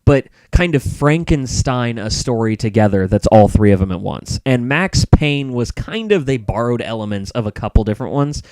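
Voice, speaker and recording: A man's voice: mid-range voice, lively intonation, normal speed, hardly any pauses, darkish tone, no echo. A man talking casually as a podcast co-host and reviewer, giving opinions and explaining them at length. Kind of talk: casual podcast chat about movies.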